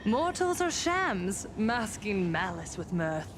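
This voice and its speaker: Hurt young woman, theatrical game monologue, mid pitch, unhurried, background music. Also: sing-song, background noise. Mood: manic, mocking. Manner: deliberate, dramatic. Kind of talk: dramatic game storytelling. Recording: music clearly under the voice.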